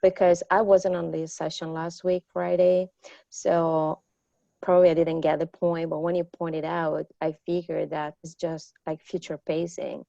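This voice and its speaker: Italian woman, native speaker, low and gravelly, dry, dull-sounding line, earnest student. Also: flat delivery, moderate pace, dark tone, no echo. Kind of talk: class discussion.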